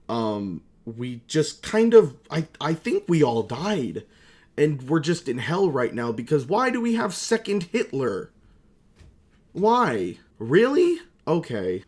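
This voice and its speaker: A young man talks in a middle-pitched voice, like a YouTuber catching up with viewers. He rambles in a casual, comedic way, mocking himself and swearing freely. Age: young adult. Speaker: YouTuber and amateur voice actor. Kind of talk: casual comedic vlog chat.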